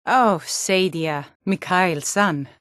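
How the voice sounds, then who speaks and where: A woman voicing a sturdy, plain-spoken Nord townswoman in a fantasy game, speaking scripted lines in a measured way. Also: fairly low pitch, a bit bright, no echo, clean studio sound. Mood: brisk, frank.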